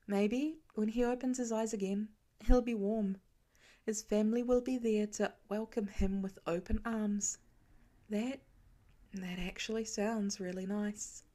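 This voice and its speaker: A woman narrating a piece of fan fiction as an audiobook, in a steady, gentle storytelling manner. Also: medium pitch. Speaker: podfic reader.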